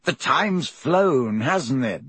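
A man's voice: mid-range voice, lively intonation, very slow, fairly bright tone, breathy edge, no echo, heavily acted. A man reading aloud, voicing a vain, self-admiring male celebrity wizard teacher in a grand, theatrical manner. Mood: gushing.

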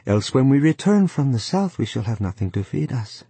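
A man reading a calm flat peasant wife. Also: medium pitch, moderate pace, gravelly, slightly rough, no room sound, voice pushed up, exaggerated voice.

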